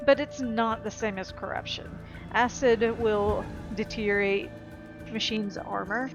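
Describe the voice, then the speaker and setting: A woman commentates casually on a video game as she plays. Her voice is mid-pitched for a woman, and she talks informally to her viewers. Clear game music runs underneath. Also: dull audio. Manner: informal, talking to viewers while playing. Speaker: gameplay commentator. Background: game music and sound effects.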